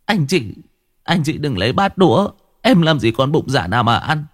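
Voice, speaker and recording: A man's voice: mid-range voice, sing-song, normal speed, no echo, pitched above the performer's own voice. A man voicing a distraught young woman who stammers out news of a death in the family. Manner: stammering, tearful.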